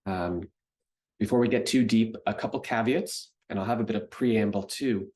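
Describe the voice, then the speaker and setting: A man's voice: lowish voice, moderate pace, darkish tone. A Canadian man in his forties giving a recorded conference talk in a conversational way, with many ums and uhs, close to a webcam mic in a home office. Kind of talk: presentation lecture.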